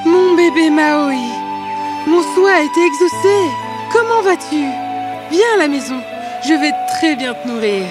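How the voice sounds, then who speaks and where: A woman puts on a loving mother from a cartoon fairy tale. Her voice is high and very lively in melody, tender and doting. Music plays behind her. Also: unhurried. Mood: tender, joyful.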